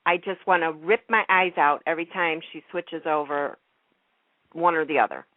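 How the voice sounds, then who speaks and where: A woman's voice: deep voice, moderate pace, dry recording. A woman hosting a radio chat in an informal, gushing way, often repeating her words. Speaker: radio show host. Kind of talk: casual chat about soap operas. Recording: narrow phone-like radio line.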